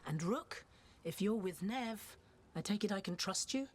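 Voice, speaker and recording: A woman's voice: medium pitch, expressive, unhurried, breathy edge. A woman voicing a dutiful, guarded templar officer in a video game, dry and clipped with wry exasperation. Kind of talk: video game cutscene acting.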